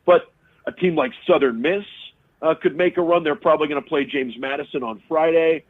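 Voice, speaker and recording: A man talking sports as a guest analyst in a conversational, halting way, often repeating words mid-sentence. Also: fairly high pitch. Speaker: sports radio host and play-by-play broadcaster, podcast guest. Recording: tinny, phone-like remote connection.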